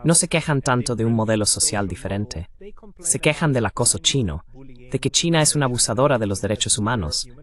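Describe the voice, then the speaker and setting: A man's voice: mid-range voice, animated, fairly quick, a bit bright. A man giving a translated voice-over of an interviewer's commentary and questions.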